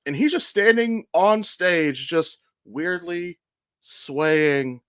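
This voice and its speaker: An American man co-hosting a podcast, chatting casually and telling stories in a blunt, animated way with plenty of swearing. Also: a little high, very slow, clear, resonant tone, no echo, studio quality. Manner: blunt, profane, animated storytelling. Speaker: podcast co-host. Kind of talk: casual chat.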